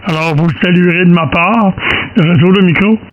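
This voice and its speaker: A man's voice: sits high, a little fast, muffled recording. A man with a Quebec French accent chatting casually as an amateur radio operator. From Quebec, Canada. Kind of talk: casual two-way radio chat. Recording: radio transmission with faint noise, clicks.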